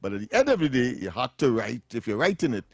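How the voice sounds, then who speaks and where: A man's voice: medium pitch, big pitch swings, fast, vocal fry, husky, dry recording. Middle-aged trinidadian male radio co-host, lectures on word meanings and laughs a lot, close dry studio mic. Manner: laughs often, lectures on words. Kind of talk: casual radio chat.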